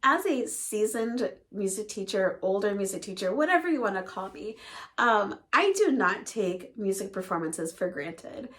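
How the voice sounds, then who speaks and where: A middle-aged woman from Northern Indiana, a music teacher, talking softly to camera in a hoarse voice from a cold. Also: mid-range voice, lively intonation, normal speed. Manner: soft, quiet delivery.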